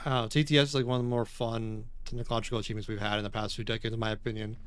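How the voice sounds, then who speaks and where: A man streaming a game, thinking aloud and chatting with viewers in a loose, unhurried way. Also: on the low side, little pitch movement, smooth. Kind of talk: casual live-stream gaming commentary.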